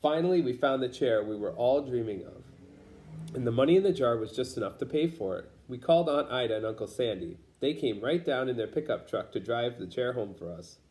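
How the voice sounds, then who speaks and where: A man's voice: medium pitch, lively intonation, a little fast, a little mellow. Man reading a children's picture book aloud. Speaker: storybook reader.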